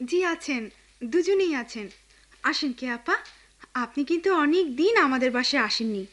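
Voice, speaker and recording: An actress playing an eager, polite young household helper in a Bengali radio drama. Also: female voice, sits high, animated, moderate pace, fairly bright tone. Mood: chirpy, eager.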